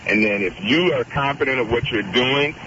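Male caller, deep voice, earnest and long-winded; muffled radio audio with audible hiss. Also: moderate pace, pushed and bright. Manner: earnest, wordy, interrupts with repeated "wait a minute". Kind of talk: radio call-in chat.

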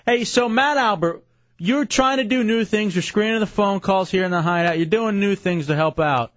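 A man hosting a late-night radio show, joking loudly and bantering with callers and co-hosts. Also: high voice, moderate pace, no room sound, lo-fi sound. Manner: loud, joking, animated. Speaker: radio host.

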